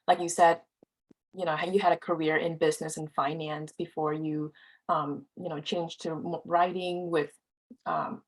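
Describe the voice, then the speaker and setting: A woman's voice: fairly low pitch, rough recording. A woman interviewing a guest writer, asking friendly questions full of "um" and "like". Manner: friendly, filler-heavy questions. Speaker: student interviewer.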